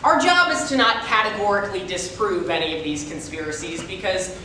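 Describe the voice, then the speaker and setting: A woman's voice: fairly quick, pushed and bright. A woman delivering scripted reader's theater narration in a theatrical, declamatory manner. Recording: echoey hall, distant mic, faint rumble.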